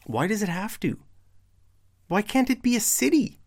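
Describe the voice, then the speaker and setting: A man with a hoarse, failing voice giving casual online Bible commentary, posing pointed questions to his audience. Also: high voice, sing-song. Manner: slow and deliberate. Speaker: religious video commentator.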